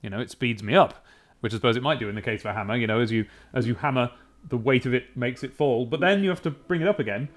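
A man's voice: mid-range voice, fast. A young British man giving casual, chatty gameplay commentary in a wry manner, thinking aloud close to a desk microphone. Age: young adult. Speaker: let's play host. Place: small room at a desk. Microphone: desk microphone with pop filter, close. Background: game music.

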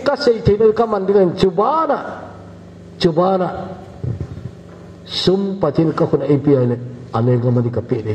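An older man from northeast India preaching a measured, unhurried sermon as pastor in a church hall. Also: on the high side, sing-song, darkish tone. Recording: echoey church hall, dull lo-fi sound, faint hiss.

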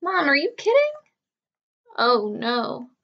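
Woman plays whiny schoolboy, stressed and protesting, high voice, storybook read-aloud, slightly dull audio. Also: wildly swooping pitch, slow, exaggerated voice. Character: reluctant, stressed schoolboy on spring break.

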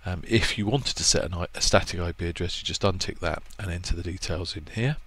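A man talks through a product setup in a very low, easygoing voice. He pauses often with ums and restarts as he explains each step, recorded as a voice-over on a screen capture. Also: husky. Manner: relaxed, lots of ums and restarts, plainspoken. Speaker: product presenter for a marine electronics maker.

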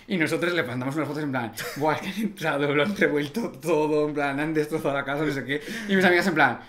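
Un hombre joven, copresentador de un pódcast, charla de forma relajada y bromista en un estudio pequeño con micrófono de mesa. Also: male voice, fairly high pitch, a bit bright. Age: young adult. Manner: quick, chatty, joking interjections. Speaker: podcast co-host. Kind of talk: casual conversation. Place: small studio room at a desk. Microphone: desk microphone on stand.

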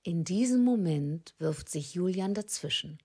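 A woman narrating an audio drama in a subdued, measured storytelling manner. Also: fairly low pitch, lively intonation, darkish tone, husky.

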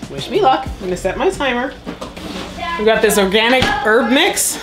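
An upbeat woman in her thirties talks through dinner prep in a small home kitchen. Her voice sits a little high and she laughs easily as she works. Background music runs under her, along with the clatter of pots and dishes. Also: sing-song, moderate pace. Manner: chatty, upbeat, laughs often while working. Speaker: vlogger, mom cooking dinner. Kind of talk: casual cooking vlog chat.

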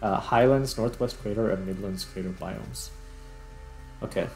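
A man giving casual gameplay commentary as host, thinking aloud in broken, halting phrases. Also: on the low side, vocal fry, darkish tone, poor audio. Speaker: gaming video host. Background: music.

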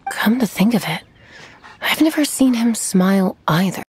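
An actress playing a composed, knowing, gently wry woman who runs an inn in a video game. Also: medium pitch, expressive, heavy background noise, lightly acted. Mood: wry, advising. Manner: measured, knowing, lightly amused.

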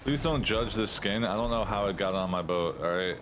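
A man with a fairly low voice talks plainly and dryly as he plays. Game sounds come through clearly behind him. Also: brisk, a bit bright, a little hiss or hum. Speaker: teammate. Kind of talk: casual gaming chat.

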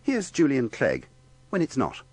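A man's voice: medium pitch, wildly swooping pitch, measured pace, breathy edge, no room sound. A man narrating a scripted compilation with a dry, tongue-in-cheek manner. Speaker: narrator of a radio bloopers compilation.

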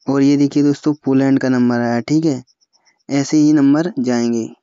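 A young man with an Indian accent walks through a phone app step by step. His voice is mellow and smooth, with little rise and fall. The recording is dry and slightly muffled. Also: mid-range voice, steady tone, moderate pace, no room sound. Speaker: tutorial host.

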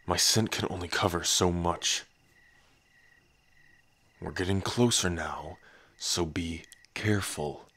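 Man acting a deep, brooding, slow-spoken werewolf. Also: fairly bright tone, husky, full character voice. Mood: wary, hushed. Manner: brooding, guarded, close and intimate. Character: gruff, protective, hot-tempered male werewolf lover. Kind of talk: ASMR boyfriend roleplay audio drama.

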